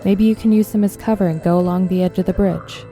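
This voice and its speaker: A young woman playing a tough, sardonic zombie killer in an audio drama, with a deadpan, teasing, brisk delivery. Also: mid-range voice, soft background music, close to an everyday voice. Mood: cocky, coaching. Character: tough, sardonic twenty-five-year-old woman, cocky zombie killer. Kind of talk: audio drama acting, casual dialogue.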